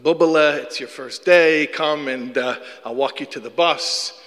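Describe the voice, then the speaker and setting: Doting, fussy mother played by a man: loud and high, coaxing, with a bright edge and a long hall echo. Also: measured pace. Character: doting fussy mother sending her small son to school.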